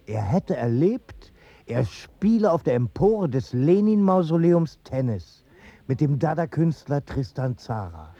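A wry male storyteller in a radio play. He is unhurried, with animated intonation and a mellow tone, recorded dry and slightly dull. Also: a little high, no echo, full character voice. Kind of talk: scripted radio play narration.

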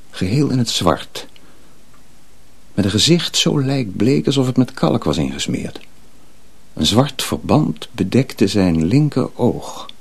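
A man narrating a scripted story dramatically, with a deliberate and suspenseful delivery. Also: medium pitch, gravelly, breathy edge.

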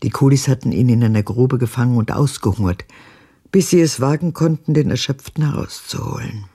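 Husky, gravelly old woman. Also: rumbling low, brisk, dark tone, exaggerated voice. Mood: brooding, haunted. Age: older. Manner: dramatic, intense storytelling. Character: old embittered woman recalling her tormented colonial marriage. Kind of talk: radio drama monologue.

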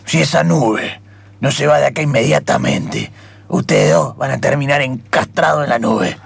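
A man's voice: medium pitch, very animated, moderate pace, gravelly, slightly rough, pitched below the performer's own voice, full character voice. Man playing an angry, bossy airport chief. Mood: angry, threatening. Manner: insistent, repeats threats. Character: angry bossy male airport chief, threatening his workers. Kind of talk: improvised radio theatre.

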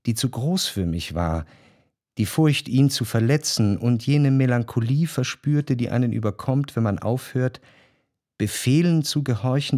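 An actor in a literary radio drama playing a refined young man who recounts his memories in a measured, introspective way. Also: medium pitch, a little mellow, lightly acted. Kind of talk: literary first-person narration in radio drama.

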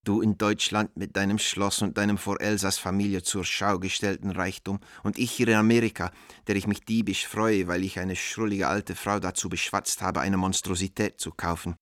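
Deep-voiced, wry middle-aged art dealer. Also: male voice, flat delivery, normal speed, fairly bright tone, dry recording, studio quality. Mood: self-reproachful. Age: 40s. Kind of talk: radio drama letter reading.

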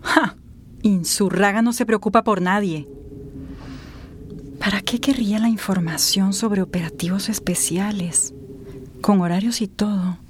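A woman's voice: medium pitch, fairly even intonation, a little slow, pauses often, a little hiss or hum, natural voice. Tired, cynical office woman played by an actress. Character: tired, cynical professional woman working late. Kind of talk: radio drama acting.

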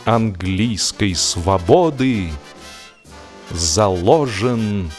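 A man reading a humorous literary classic as an audiobook narrator, expressively and wryly, with drawn-out pauses and emphatic phrasing. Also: fairly low pitch, expressive, faint music behind.

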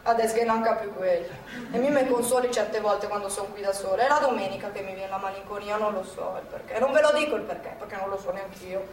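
A woman's voice: mid-range voice, fast talker, natural voice. An actress playing an elderly Milanese former partisan woman in a theatrical monologue, nostalgic, earthy and rambling, in colloquial speech. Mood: wistful, weary. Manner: colloquial, rambling, repeating herself. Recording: echoey theatre, distant mic, faint noise.

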